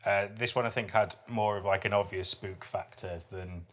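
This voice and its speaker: A man with a low-set voice and a bright, crisp edge talks casually as a podcast co-host. He explains things in an easy conversational style, with a playful streak. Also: fairly even intonation, normal speed. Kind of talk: casual poetry discussion.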